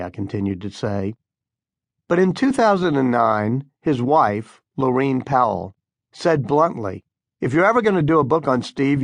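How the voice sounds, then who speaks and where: A man reads scripted audiobook narration in a measured, articulate way. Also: mid-range voice, no echo.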